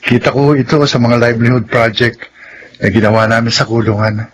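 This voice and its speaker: A man's voice: medium pitch, steady tone, a little fast, lightly acted, low-quality recording. A man playing a humble, earnest ex-convict father rebuilding his life in a radio drama. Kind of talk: classic radio drama acting.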